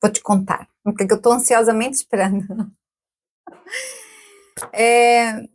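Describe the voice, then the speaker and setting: A woman's voice: fairly high pitch, fairly even intonation, measured pace. A woman in her forties, a podcast guest, chatting casually, laughing often and tagging questions onto her sentences. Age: 40s. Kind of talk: casual interview chat.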